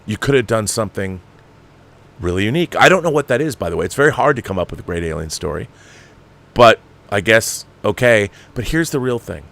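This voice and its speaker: A man's voice: fairly low pitch, animated, brisk, creaky, fairly bright tone. A man delivering an unscripted film rant as a show host, emphatic, exasperated and profane, repeating phrases for emphasis. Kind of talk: ranting film commentary, unscripted.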